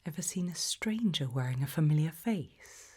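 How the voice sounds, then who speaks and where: A British woman playing an eerie, probing researcher of human behaviour in a whispered ASMR roleplay, slow, deliberate and softly menacing. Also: deep voice, lively intonation. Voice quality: breathy whisper.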